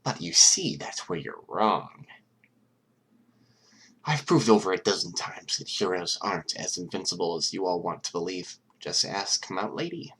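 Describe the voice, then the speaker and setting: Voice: deep voice, lively intonation, a little slow, fairly bright tone, husky, full character voice, poor audio. A reader voicing a young male villain strategist who taunts his audience with mock cheer and cold menace. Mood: taunting, confident. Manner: mock-cheerful, taunting, turning deadly serious.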